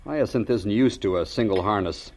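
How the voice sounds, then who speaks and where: Radio drama farmer father played by a man: genial, teasing, unhurried folksy manner, lowish pitch. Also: darkish tone, a little hiss or hum, close to an everyday voice. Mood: calm, cautious.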